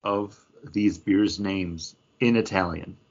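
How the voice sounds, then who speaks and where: A man's voice: low voice, steady tone, takes it slowly, husky. A man co-hosting a podcast, bantering and reviewing in a casual, conversational way. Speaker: podcast co-host. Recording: dull lo-fi sound.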